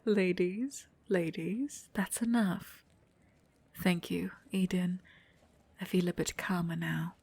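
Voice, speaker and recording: Breathy, proud, passionate goddess played by a woman. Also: fairly low pitch, fairly even intonation, takes it slowly, a little mellow, exaggerated voice. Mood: calming down. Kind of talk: ASMR roleplay audio drama.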